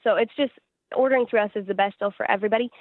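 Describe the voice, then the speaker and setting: A woman's voice: medium pitch, very fast, no room sound. A woman singer-songwriter giving conversational answers in an interview, recorded with muffled, band-limited sound.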